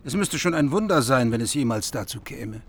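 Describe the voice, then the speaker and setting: An actor playing a heathen king longing to woo a widow, in a radio drama, with a creaky voice. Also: medium pitch, animated, fairly quick, slight background noise, natural voice. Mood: doubtful.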